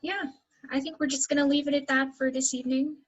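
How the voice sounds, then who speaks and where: A woman with a husky voice, chatting casually as a co-host. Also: high voice, little pitch movement, fast talker. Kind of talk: casual chat.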